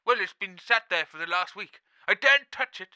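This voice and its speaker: An eccentric caller on a comedy phone-in, acted by a man, with an extremely high, piercing voice. The delivery is earnest and rambling, recorded very dry. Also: lively intonation, brisk, pushed and bright, smooth, dry recording, full character voice. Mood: earnest, fretful.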